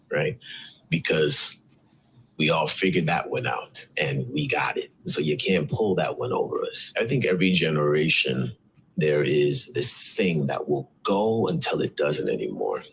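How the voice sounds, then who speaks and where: A man in an interview clip, telling an animated story with plenty of "like" and asides. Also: extremely low pitch, unhurried, creaky, a little hiss or hum, low-quality recording. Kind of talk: casual interview talk.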